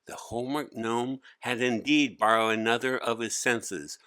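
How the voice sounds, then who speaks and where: A man's voice: medium pitch, steady tone, normal speed, sharp tone, clear, resonant tone, dry recording. A man narrating a children's story as a scripted read-aloud. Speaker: author-narrator.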